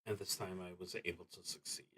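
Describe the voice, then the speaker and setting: A man streaming a solo tabletop roleplaying game, thinking aloud in improvised commentary with a hesitant, halting delivery that trails off mid-thought. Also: low-pitched, fairly even intonation, background noise.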